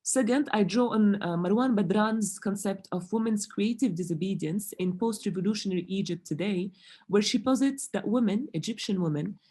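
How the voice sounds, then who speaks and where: A woman's voice: mid-range voice, dark tone, breathy edge. Note: the speaker switches from French to English at line 20. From Morocco. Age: young adult. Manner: careful, measured, with frequent hesitant fillers. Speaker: doctoral researcher presenting at a conference. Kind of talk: academic conference presentation.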